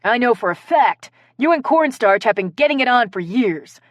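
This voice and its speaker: A woman narrator voicing a snide, suspicious woman with a husky voice in a comic mystery story. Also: on the high side, animated, normal speed, no room sound, studio quality, pitched above the performer's own voice, close to an everyday voice. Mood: accusing. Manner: snide, accusing.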